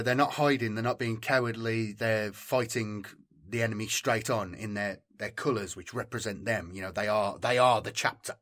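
A man's voice: fairly low pitch, a bit bright. A male host talks casually to his viewers without a script. He rambles along informally, thinking aloud as he goes. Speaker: channel host. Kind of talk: casual unscripted chat to viewers.

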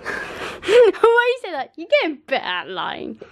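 Woman, twenties, chatty and giggly, camcorder audio in a bedroom, low hiss. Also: wildly swooping pitch, a little slow. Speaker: vlogger. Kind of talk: casual chat. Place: bedroom at night. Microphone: handheld camera.

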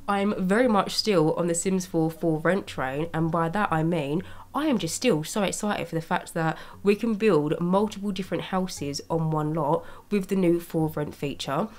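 A young woman with a slightly raspy voice from a cold, chatting casually as she narrates her video-game building. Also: fairly low pitch, normal speed, a little mellow. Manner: chatty, rambling, with small laughs. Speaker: Sims speed-build YouTuber. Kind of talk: casual commentary over gameplay. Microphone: voice-over recorded separately from gameplay.

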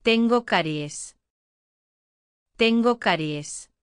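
A woman reads short lesson phrases, saying each one twice with careful enunciation. Her pace is very unhurried and her voice is smooth and crisp. It is recorded dry on a close mic. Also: medium pitch, expressive, no room sound. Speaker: language lesson reader. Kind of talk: slow repeated phrase reading.

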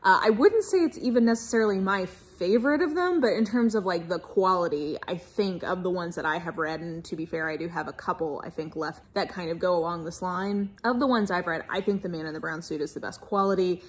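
An American woman in her thirties giving a casual, chatty book review vlog with expressive, emphatic asides. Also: on the low side, a little fast, few pauses, husky. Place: furnished room at home, indoors.

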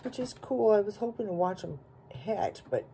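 A woman's voice: medium pitch, sing-song, moderate pace, creaky, warm tone. A plain-spoken, folksy woman giving casual home-video narration on a noisy phone recording. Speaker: farm vlogger.

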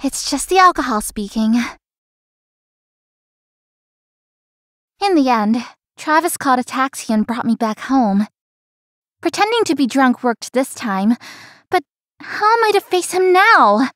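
Young female florist, high pitch, friendly, self-doubting, visual novel dialogue, dry studio sound. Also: big pitch swings, normal speed, fairly bright tone, dry recording. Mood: inward, anxious. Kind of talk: scripted anime-style game dialogue and inner monologue.

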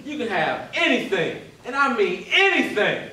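A menacing, swaggering drug kingpin in a stage play, acted by a man, projecting emphatically with big swings in a high voice. He is picked up from far off over clear noise. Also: wildly swooping pitch, normal speed, sharp tone, heavily acted. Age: middle-aged. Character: menacing swaggering drug boss and father, testing his crew. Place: indoor theater stage. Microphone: far camera. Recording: echoey theater stage, distant mic, noisy.

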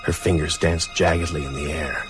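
An actor playing a grave narrator, telling a horror tale in sombre, measured first-person narration. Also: male voice, a bass voice, level tone. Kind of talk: scripted first-person narration, radio drama. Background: music.

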